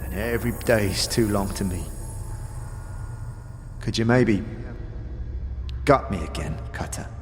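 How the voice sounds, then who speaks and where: A man playing a weary, regretful undead zombie in an audio drama, with a gravelly voice and folksy, slangy speech. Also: lowish voice, slow pace, long pauses, slight background noise. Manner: folksy, slangy old-time street talk. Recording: long hall reverb.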